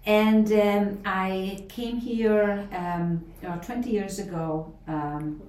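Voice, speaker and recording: A woman's voice: mid-range voice, steady tone, takes it slowly, a little mellow, a little hiss or hum. A middle-aged woman from Poland with a Polish accent, a professor answering interview questions thoughtfully, with many fillers and restarts. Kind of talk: interview conversation. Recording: roomy, a bit distant from the mic, faint ambience.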